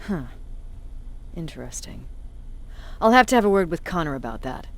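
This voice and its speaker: An actress playing a blunt, authoritative resistance commander in a video game, tough and sardonic. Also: female voice, low voice, very animated, moderate pace. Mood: serious, briefing.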